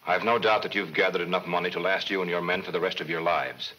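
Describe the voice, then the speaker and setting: An actor playing a hard-nosed, cool-headed renegade police detective, terse and firm, in 1940s film serial style on an old soundtrack. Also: male voice, deep voice, quick pace, lo-fi sound, natural voice. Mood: coolly persuasive.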